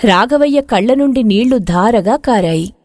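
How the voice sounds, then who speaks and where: A woman reading an expressive scripted moral story as its narrator. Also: fairly high pitch, moderate pace, no room sound, clean studio sound.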